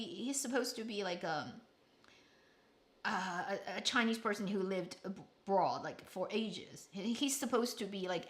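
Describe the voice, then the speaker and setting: A woman's voice: medium pitch, pushed and bright. A woman hosting a casual solo chat, giving blunt opinions with lots of restarts and trailing asides. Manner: opinionated, rambling with frequent restarts. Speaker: drama review host.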